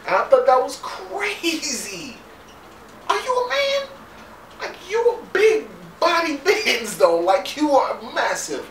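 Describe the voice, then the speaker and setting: A man rants through a casual comic recap, his pitch leaping up and down as he laughs in disbelief. He sits away from the mic in a roomy space with a faint hum of background noise. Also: distant mic. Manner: animated, incredulous, laughing, profane. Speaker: vlogger recapping a TV episode.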